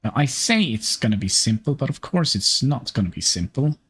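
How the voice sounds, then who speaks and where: A man talks through a game as he plays, pausing and restarting while he works things out. His voice sits at an ordinary middle pitch, with faint music underneath. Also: sing-song, fairly bright tone, breathy edge. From Norway. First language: Norwegian. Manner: thinking aloud, halting with fillers. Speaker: streamer. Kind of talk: live gameplay commentary.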